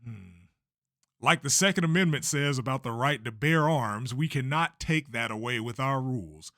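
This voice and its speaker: Man acting bright, grandiose race promoter. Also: medium pitch, no echo, clean studio sound. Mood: measured, assured. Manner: grandiose, declamatory, deliberate. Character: showy, unflappable male race promoter at a press conference. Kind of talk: amateur anime-style manga dub.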